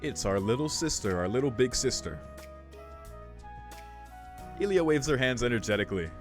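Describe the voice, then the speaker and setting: A man's voice: mid-range voice, expressive, moderate pace. A man hosting a game playthrough, talking in a slangy, jokey way and reading the game's text aloud. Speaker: gaming video host. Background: game music.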